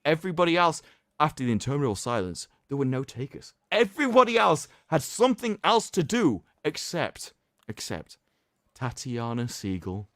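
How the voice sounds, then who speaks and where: A man's voice: fairly high pitch, sing-song, moderate pace, a bit bright, no echo, studio quality. A man hosting a stream, giving a sarcastic, emphatic dramatic reading with mocking commentary. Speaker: streamer host.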